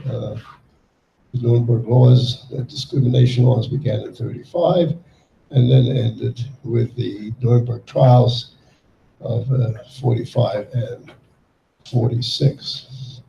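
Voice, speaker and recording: An older man giving a talk to an audience, speaking haltingly with many fillers. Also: medium pitch, fairly even intonation, vocal fry, mellow, muffled recording, a little hiss or hum. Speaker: guest speaker, child refugee. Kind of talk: public talk with slides. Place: lecture hall before an audience. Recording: echoey hall.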